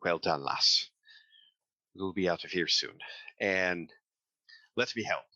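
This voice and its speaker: A man's voice: sits low, takes it slowly, pushed and bright, no echo, muffled recording, pitched below the performer's own voice, full character voice. A man voicing a hearty, encouraging adventurer companion in a tabletop fantasy game. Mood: reassuring.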